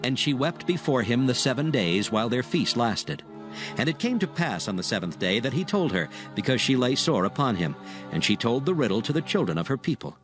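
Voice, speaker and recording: A man narrating scripture as a solemn, measured storyteller in a dramatized audio production. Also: medium pitch, sing-song, music in the background.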